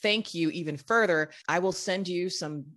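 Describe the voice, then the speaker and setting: A woman's voice: on the low side, moderate pace, fairly bright tone. A woman from the United States hosting a podcast, chatting playfully and bubbly with her guest. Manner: playful, bubbly, laughs often. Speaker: podcast host. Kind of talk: casual interview chat.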